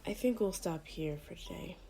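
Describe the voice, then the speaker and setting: A woman playing a doctor with a split persona, tender yet menacing, in a soft, hushed ASMR roleplay with a condescendingly sweet manner. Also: lowish voice, animated. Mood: earnest, hushed. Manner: slow, hushed, breathy delivery, condescendingly sweet. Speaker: voice performer.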